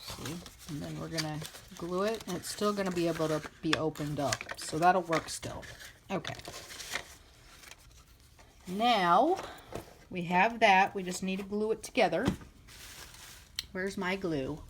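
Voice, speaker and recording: A woman with a slightly low voice talks very slowly through a hands-on craft tutorial. She is informal and thinks aloud as she goes. The recording is plainly noisy, made at a table indoors. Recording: clearly noisy home recording with clicks.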